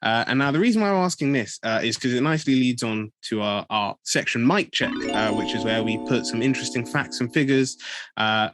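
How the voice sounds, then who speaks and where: A man's voice: mid-range voice, animated, brisk, fairly bright tone, soft background music. Chatty young man hosting a podcast. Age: young adult. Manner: chatty, many fillers and restarts. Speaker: podcast host. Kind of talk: casual interview hosting.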